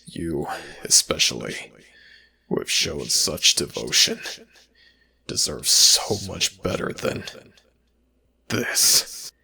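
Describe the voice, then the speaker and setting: A man playing a sorrowful male god in an ASMR audio drama, speaking in a halting, grave, pitying way with a gravelly, breathy, whispery rasp. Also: extremely low pitch, very animated, bright, forceful tone, full character voice, rough recording. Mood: sorrowful, compassionate. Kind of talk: ASMR audio roleplay, dramatic internal narration.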